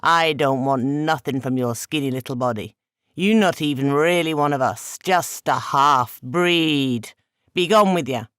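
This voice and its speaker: A boastful trickster spirit in an audiobook, as a male narrator puts him on, loud and mocking in a halting, overpronounced accent. He rides a little above the narrator's usual pitch, recorded close and dry. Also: smooth, no echo. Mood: taunting. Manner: loud, taunting, playful.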